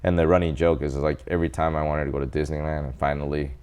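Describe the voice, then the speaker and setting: A man in his forties from El Salvador, a doctor telling his life story as a guest in a casual interview, animated and quoting people as he goes. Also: rumbling low, rapid-fire, smooth. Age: 40s.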